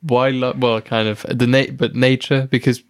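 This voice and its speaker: A man's voice: medium pitch, level tone, normal speed, clear, resonant tone. A man co-hosting a casual podcast, tossing in jokey questions and teasing asides. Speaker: podcast co-host. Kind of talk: casual chat.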